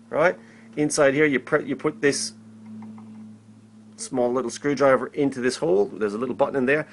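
A man with a medium-pitched voice gives an informal practical demonstration in the role of an electrician. He speaks conversationally, going back over his points and regularly checking that the listener is following. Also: slight background noise. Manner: conversational, repeats himself, checks in with "right?". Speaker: electrician demonstrating intercom setup. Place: indoors at a work table. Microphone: handheld camera held by another person, a short way off.

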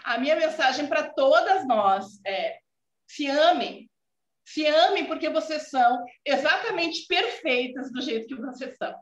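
A Brazilian woman in her forties with a gaúcha accent speaks earnestly from home over a video call, her voice set high. Her sentences keep ending on a questioning "né". The call sounds dull and echoey. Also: animated, a little slow. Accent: Brazilian Portuguese, gaúcha. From southern Brazil. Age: 40s. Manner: warm, earnest, many filler "né" tags. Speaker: student guest. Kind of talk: casual video call chat. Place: home room indoors. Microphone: video call laptop mic.

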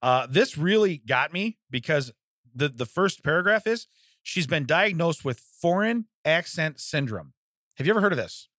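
A man's voice: on the high side, lively intonation, moderate pace, fairly bright tone, no room sound. A man co-hosting a comedic podcast in a studio, rambling and laughing often as he reads out news stories. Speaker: podcast co-host. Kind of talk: casual comedic podcast chat. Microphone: studio microphone.

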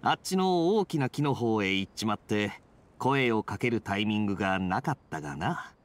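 A man voicing a relaxed, playful young male knight in an anime game, speaking smoothly and casually. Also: fairly low pitch, normal speed, a little hiss or hum. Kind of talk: Japanese anime game voice acting.

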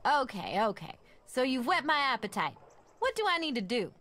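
A woman's voice: on the high side, big pitch swings, normal speed, a bit bright. A woman playing a sassy, confident hippo in a video game, with a wry manner. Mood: playful confident. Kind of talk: video game character acting.

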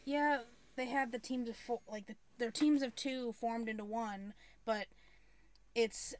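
A woman's voice: fairly high pitch, fairly even intonation, a little slow. A woman in her thirties chatting casually as co-host of a reaction video. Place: small indoor room.

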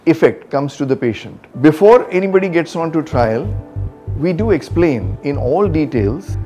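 A man's voice: on the high side, expressive, moderate pace, mellow, soft background music. A middle-aged man with an Indian accent, a senior doctor explaining to camera. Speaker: senior doctor, hospital director. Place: book-lined office indoors.

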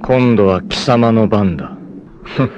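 A man voicing a proud, fierce young male martial artist in a 1980s anime, who moves from measured calm to raging shouts. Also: fairly low pitch, highs cut off, very noisy. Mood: cold, defiant. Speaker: anime voice actor. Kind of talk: 1980s anime dub acting.

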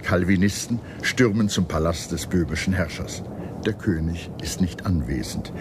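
A man reading scripted historical documentary narration in a measured, declarative storytelling manner. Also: sits low. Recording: clear background noise and ambience.

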